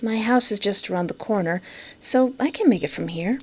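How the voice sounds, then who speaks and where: A woman's voice: mid-range voice, normal speed, breathy edge, lightly acted. A woman voicing a bashful, teasing female artist on a date in a visual novel, with a light, coy delivery. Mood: shy fondness. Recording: music behind.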